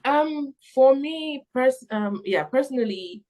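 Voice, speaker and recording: A young woman speaking as a missionary being interviewed, giving reflective answers in an earnest, halting way as she thinks aloud. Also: sits high, animated, mellow. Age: young adult.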